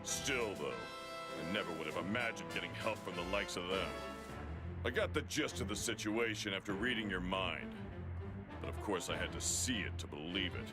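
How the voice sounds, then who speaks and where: A gruff, proud alien warrior in an anime game. He has a low voice that swings widely in pitch, stern and grudging in manner, with loud music underneath. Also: lively intonation, moderate pace. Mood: wary grudging. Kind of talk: anime video game voice acting.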